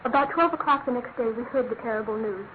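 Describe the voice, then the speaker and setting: An actress plays a young British woman, a loyal and anguished fiancée in a radio mystery. Her voice is high and refined, with earnest, halting emphasis. The recording is thin and phone-like, lightly noisy. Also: natural voice. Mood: grieving, pleading. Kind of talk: classic radio drama acting. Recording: noisy, narrow-band old radio recording.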